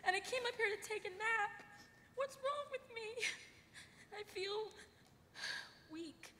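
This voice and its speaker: In a noisy, echoing hall, a woman plays a sweet, sickly young woman, bewildered and plaintive. The voice is extremely high and bright, in a live table read. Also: slow, full character voice. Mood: weak, confused. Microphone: table microphone on a panel. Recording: echoey hall, background noise, stage microphone.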